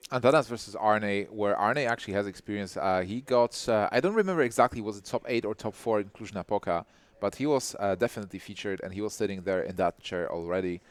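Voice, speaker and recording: A male esports caster chats off the cuff at the desk. His voice sits fairly low, and he halts and doubles back on words. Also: quick pace. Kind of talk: live desk chat, unscripted.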